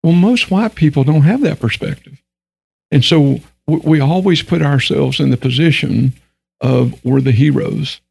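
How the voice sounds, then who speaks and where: A Texan man speaks earnestly on a panel, his delivery emphatic. His voice is heavily gravelly and husky, and sits a little high for a man. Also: moderate pace, darkish tone, dry recording. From Texas. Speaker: panel guest. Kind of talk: candid discussion panel talk.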